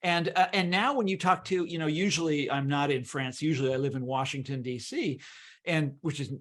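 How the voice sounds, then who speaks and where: Higher-voiced American man, emphatic and stammering. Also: fairly quick, a bit bright. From Kansas, United States. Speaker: guest author and historian. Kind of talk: conversational interview.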